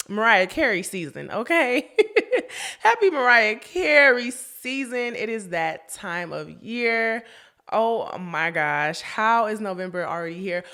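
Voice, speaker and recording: A woman's voice: mid-range voice, fairly bright tone. A young woman hosting her own podcast in a chatty, casual way, often laughing and talking straight to her listeners while sniffling from a cold. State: sniffly, getting over a cold. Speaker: podcast host. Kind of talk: casual chat.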